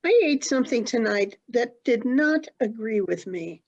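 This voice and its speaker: A prim, timid society lady of mature years, played by an actress, with a high and animated voice. She sounds fussy and proper, and the video call audio is dull and lo-fi. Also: measured pace. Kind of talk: stage comedy acting over video call.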